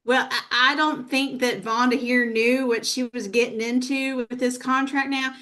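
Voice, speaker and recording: A woman's voice: high-pitched, fairly even intonation, normal speed, bright, forceful tone. A woman playing a folksy, protective mother who scolds, performed in a live comedy table read. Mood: pleading.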